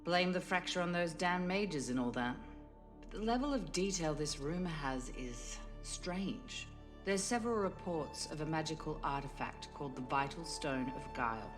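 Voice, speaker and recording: A woman voicing a wry, guarded spymaster in a fantasy video game, with a dry, measured, faintly dismissive manner. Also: low voice. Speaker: video game quest-giver character. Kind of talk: scripted game voice acting.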